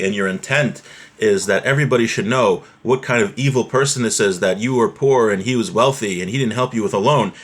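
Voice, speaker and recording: A man giving an informal religious lesson, reading from a text and explaining it conversationally with everyday examples and check-in questions. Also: mid-range voice. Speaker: religious teacher.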